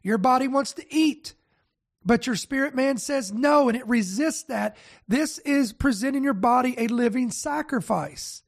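A male pastor hosting a teaching broadcast, raspy and nasal with a head cold, talking casually and straight to his viewers. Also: very high-pitched, measured pace. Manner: relaxed, speaks straight to viewers. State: congested from a virus.

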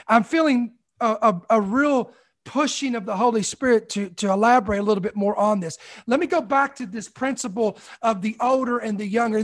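A man's voice: very high voice, fairly bright tone. A man in his forties preaching a sermon, emphatic, with repeated phrases and call-outs to the congregation. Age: 40s. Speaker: pastor.

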